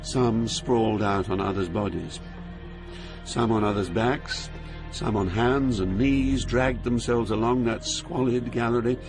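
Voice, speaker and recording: Slow, solemn male narrator over music. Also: medium pitch, steady tone. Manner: solemn, theatrical, measured phrasing. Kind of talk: scripted dramatic narration.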